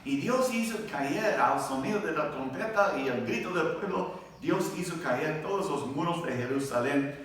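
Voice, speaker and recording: A man with a foreign accent, not a native Spanish speaker, teaching a church Bible class in a halting way, searching for words and checking with his listeners. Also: a little high, expressive, fairly bright tone. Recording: very echoey room, distant microphone.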